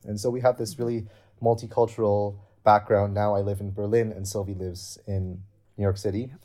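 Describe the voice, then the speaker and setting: Deep-voiced man, flat and mellow. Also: moderate pace. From Canada. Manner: earnest, explanatory, thinks aloud. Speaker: guest cellist. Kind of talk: interview answers.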